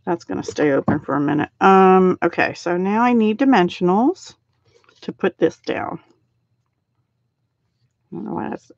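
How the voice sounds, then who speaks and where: Soft-spoken woman demonstrating crafts live. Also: lowish voice, vocal fry, no room sound. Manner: soft-spoken, thinks aloud while working. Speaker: card-making live stream host. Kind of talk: casual live craft demo, chatting with viewers. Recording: dry, slightly dull home stream audio.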